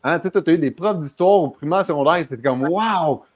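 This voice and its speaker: A man's voice: on the high side, a little fast. A man with a Quebec French accent hosting a podcast interview, talking in a loose, chatty way with many hesitations and restarts. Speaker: podcast host. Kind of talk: casual interview chat.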